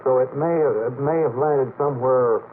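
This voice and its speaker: An old, telephone-thin radio recording with faint noise carries a man's medium-pitched voice. He is a panel guest who explains in measured, skeptical sentences, as an expert would. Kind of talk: late-night radio panel discussion. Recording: noisy narrowband vintage radio tape.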